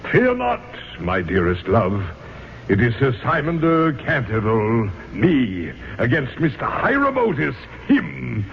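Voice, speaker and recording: An actor playing a centuries-old aristocratic ghost in a radio drama, melodramatic and exclamatory. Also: male voice, medium pitch, wildly swooping pitch, a little slow, gravelly, exaggerated voice. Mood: ardent, pompous. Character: theatrical aristocratic male ghost, centuries old, vengeful and lovelorn. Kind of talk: 1970s radio drama acting. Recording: dull, noisy old radio broadcast.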